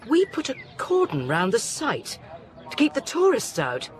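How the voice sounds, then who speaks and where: Animated, dry-witted scientist. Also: female voice, high-pitched, a little slow, exaggerated voice. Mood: keen, wry. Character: sharp, inquisitive woman scientist, dry-witted. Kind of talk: radio drama acting.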